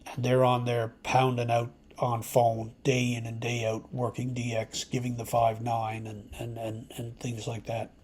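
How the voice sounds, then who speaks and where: A man who hosts an amateur radio channel, talking to his subscribers in a casual, unscripted, halting and reflective way that rambles. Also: mid-range voice. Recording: clear steady background noise.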